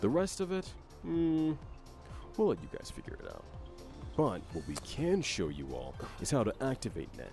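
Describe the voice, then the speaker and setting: A man's voice: mid-range voice, wildly swooping pitch, moderate pace, gravelly, a little mellow, faint music behind. A man reading scripted explainer narration for a video game trailer.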